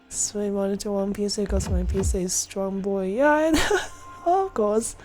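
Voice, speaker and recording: A woman's voice: medium pitch, slow. A woman giving casual, giggly, rambling commentary on a game livestream, trailing off as she chats. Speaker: livestreamer playing a visual-novel game. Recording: music behind the voice. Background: game music.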